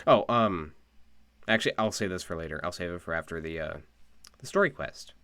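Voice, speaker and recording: A young man chatting casually on a game livestream, thinking aloud in a halting way. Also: low voice, expressive, fairly bright tone, smooth, no room sound. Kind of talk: casual stream chat. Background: game music.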